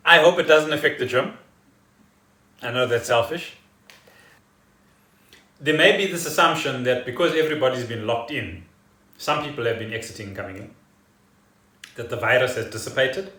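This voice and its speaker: A man's voice: mid-range voice, normal speed, pauses often, sharp tone. A man chatting casually as a podcast co-host at home. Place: indoors at home. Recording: roomy, distant from the mic.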